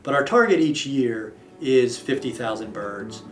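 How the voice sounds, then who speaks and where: A man in his thirties speaks as an official in an interview, at a middling pitch. His delivery is formal and measured, with deliberate pauses. The room is echoey and the microphone sits a little way off. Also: animated, faint music behind. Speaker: state wildlife agency official. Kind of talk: explanatory on-camera interview. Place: indoor office with wall map. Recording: roomy echo, slightly distant mic.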